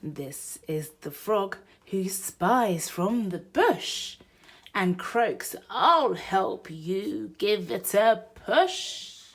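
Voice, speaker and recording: A woman reading a children's storybook aloud in an expressive, sing-song way, addressing young children. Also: medium pitch, very slow. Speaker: mother and presenter of a children's read-aloud channel.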